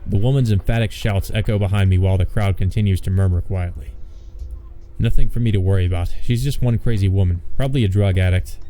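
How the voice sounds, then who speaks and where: A man's voice: deep voice, fast talker, mellow. A man reading a visual novel aloud as a let's player, adding wry, offhand comments. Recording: faint music behind the voice.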